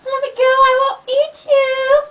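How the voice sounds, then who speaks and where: A woman's voice: very high-pitched, monotone, unhurried, fairly bright tone, pitched above the performer's own voice. A woman voicing a greedy, childish monster in a puppet show, talking in simple babyish phrases. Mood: pleading, weakened.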